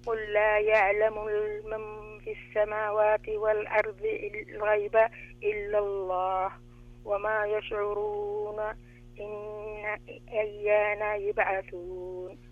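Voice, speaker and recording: A woman from Saudi Arabia calls in to a radio lesson and slowly, carefully recites a Quran verse for the teacher. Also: mid-range voice. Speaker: caller. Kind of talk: reciting Quran over the phone. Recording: tinny phone line.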